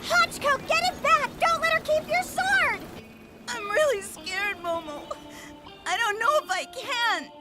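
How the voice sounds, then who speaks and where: A young anime sword-girl with a very high voice. She is emotional and fiercely loyal to her friends. Loud music plays behind her. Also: expressive, unhurried. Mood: scared. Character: sword-fighting schoolgirl, fearful one moment, fiercely loyal the next. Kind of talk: English anime dub acting.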